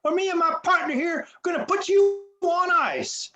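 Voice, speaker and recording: Dim mob thug, squeaky-high pitch, threatening slang, campy radio drama, slightly muffled recording. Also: female voice, moderate pace. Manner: tough-guy slang. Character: dim, threatening gangster sidekick. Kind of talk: campy 1940s radio noir acting.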